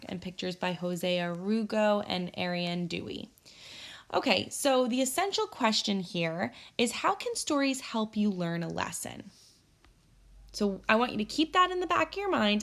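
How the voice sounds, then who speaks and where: A woman teacher reading a story aloud for children, expressive and instructive, pausing to pose guiding questions. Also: medium pitch. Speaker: elementary teacher.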